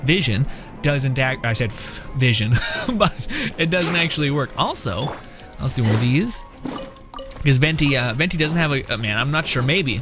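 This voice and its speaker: A young man giving casual gameplay commentary as a streamer, rambling, jokey and slangy. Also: medium pitch. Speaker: gaming streamer. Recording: game music behind the voice.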